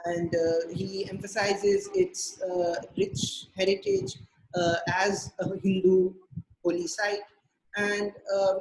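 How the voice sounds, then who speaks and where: Urdu-native translator in his thirties, high voice, careful and pausing, heard over a video call. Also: low-quality recording. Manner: measured, many filler pauses. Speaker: translator and poet, interview guest. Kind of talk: thoughtful interview answers.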